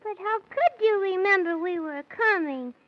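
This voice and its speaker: A woman's voice: very high-pitched, measured pace. A woman playing a polite, earnest little boy in a 1930s children's radio serial, meek and full of questions. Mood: eager, polite. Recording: muffled 1930s radio recording.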